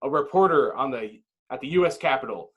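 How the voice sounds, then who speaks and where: Male narrator for scripted action, medium pitch, dramatic and clipped. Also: animated, fairly quick, dull audio. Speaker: narrator reading stage directions.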